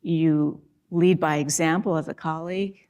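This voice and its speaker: A woman's voice: deep voice, steady tone, moderate pace, darkish tone. A woman moderating a panel, speaking earnestly with frequent restarts and fillers. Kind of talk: reflective panel talk.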